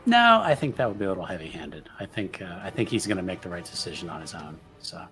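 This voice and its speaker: A man chatting casually as a tabletop game player, in a measured, dryly humorous manner. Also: deep voice, very animated, pushed and bright, faint music behind.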